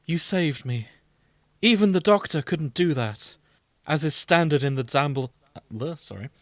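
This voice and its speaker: Man playing smitten Clara, deep voice. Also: animated, moderate pace, no room sound, studio quality, lightly acted. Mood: flirty.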